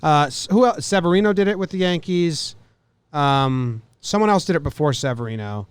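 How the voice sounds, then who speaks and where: Crisp-voiced man hosting loose sports chat. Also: on the high side, expressive, normal speed, dry recording. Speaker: sports podcast host.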